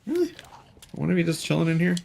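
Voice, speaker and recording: A man's voice: on the high side, sing-song, fast, gravelly, a little mellow, slight background noise. A man giving casual gameplay commentary as a streamer, muttering to himself and reading in-game notes aloud.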